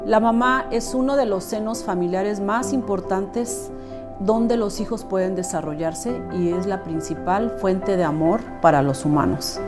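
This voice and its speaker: A woman's voice: medium pitch, measured pace, over music. A woman hosting a podcast interview. She keeps the guest talking with affirming murmurs and filler words. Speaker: podcast host.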